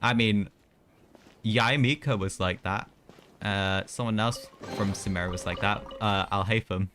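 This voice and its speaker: A man's voice: low-pitched, a bit bright. A young man doing casual live gameplay commentary, chatty and slangy with mild exclamations. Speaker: game streamer. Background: game music.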